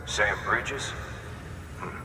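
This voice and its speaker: A man playing a gruff, laconic depot worker in a game, speaking in casual, terse phrases. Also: on the low side, very slow. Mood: casual, approving. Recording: distant, echoey hall with noise.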